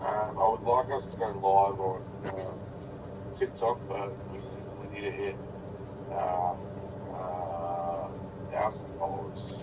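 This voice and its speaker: A man talking casually and haltingly as a livestream co-host. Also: steady tone, highs cut off. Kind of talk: casual chat. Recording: distant voice, clear vehicle rumble.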